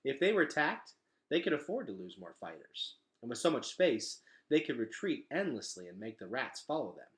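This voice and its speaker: A man reads a children's adventure novel aloud with a very lively, swinging intonation. His pitch sits in the middle range for a man, and his delivery is expressive and dramatic. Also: normal speed. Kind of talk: expressive read-aloud storytelling.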